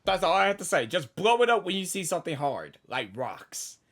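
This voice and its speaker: A gaming host giving casual live reactions in an excitable, playful, repetitive way. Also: medium pitch, brisk. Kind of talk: casual live game commentary.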